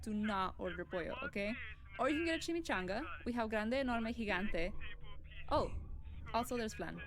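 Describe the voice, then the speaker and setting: An actress playing a chatty young taco shop clerk in a video game, casual, rambling and blunt. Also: female voice, on the high side, animated, normal speed. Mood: chatty, apologetic. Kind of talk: video game dialogue acting.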